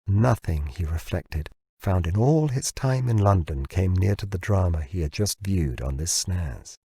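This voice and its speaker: Guy narrating a novel, lowish husky voice, really dry recording. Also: expressive, unhurried, warm tone, dry recording. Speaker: audiobook narrator. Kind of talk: scripted fiction narration.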